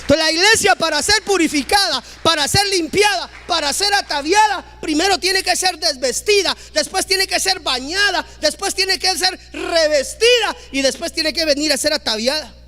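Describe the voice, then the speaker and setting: High-voiced man preaching emphatically. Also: moderate pace, hardly any pauses. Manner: emphatic, rising to loud exclamations, acting out quoted dialogue. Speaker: pastor. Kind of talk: preaching a sermon.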